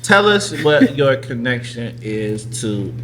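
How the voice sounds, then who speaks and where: A man's voice: medium pitch, big pitch swings, slow pace, a crowd in the background. A man co-hosting a podcast in a hyped, playful hype-man style. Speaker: podcast co-host. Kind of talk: casual podcast chat.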